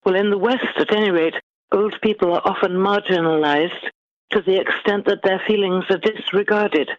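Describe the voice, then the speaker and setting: A woman's voice: mid-range voice, steady tone, dry recording. Articulate woman, dull lo-fi recording. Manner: articulate, measured, formal phrasing. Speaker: guest author. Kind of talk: literary interview conversation. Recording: dull, lo-fi band-limited sound.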